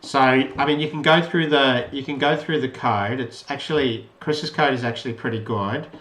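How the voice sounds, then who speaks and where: A man's voice: mid-range voice, moderate pace, a bit bright. A man giving an informal, unscripted tutorial walkthrough of an electronics project, explaining step by step and rephrasing as he goes. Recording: roomy, echoey voice pickup.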